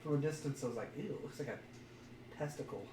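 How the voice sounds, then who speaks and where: A man's voice: mid-range voice, fairly quick, darkish tone. A man chatting casually as a podcast co-host, commenting on trading cards in a jokey, crude way with some wordplay. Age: adult, parent of a young son. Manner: jokey, crude, plays with words. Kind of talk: casual chat while opening trading card packs. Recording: roomy echo, off-mic, faint noise.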